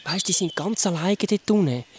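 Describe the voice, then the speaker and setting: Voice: medium pitch, animated, normal speed, natural voice. Worried caring character voiced by an actor, Swiss German accent, swinging intonation, earnest, noisy ambience. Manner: anxious, earnest. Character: worried, caring character who senses friends in danger. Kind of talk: Swiss German audio drama acting for children.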